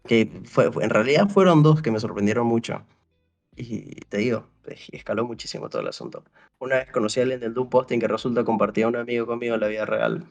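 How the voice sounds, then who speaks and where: A young man from Paraguay with a gravelly voice. His pitch is ordinary for a man. He talks loosely and rambles, circles back with "o sea", and acts out what people said to him. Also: fast, soft background music. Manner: rambling, full of restarts and "o sea", re-enacts dialogue. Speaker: interview guest. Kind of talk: casual storytelling chat.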